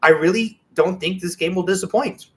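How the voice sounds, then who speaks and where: Male podcast co-host with a slightly high voice, relaxed conversational gaming talk. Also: moderate pace. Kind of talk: casual gaming chat.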